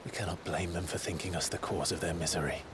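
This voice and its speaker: Male actor as burdened outlaw hero, deep voice, grave, measured, video game drama, noisy ambience behind. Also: fairly even intonation, natural voice. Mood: solemn, reflective. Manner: measured, solemn. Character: grave, resolute outlaw man carrying a heavy burden.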